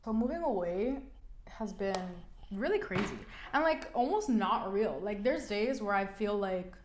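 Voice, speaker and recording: A woman's voice: mid-range voice, expressive, unhurried, a little hiss or hum. A young woman talks through her makeup routine in a loose, frank way, her voice stuffy and congested from a cold. She leans on "like" and "you know" as she goes. The sound is echoey, as if recorded in a bare room at home. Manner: chatty, frank, many "like" fillers. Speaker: beauty vlogger. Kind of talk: casual chat while doing makeup.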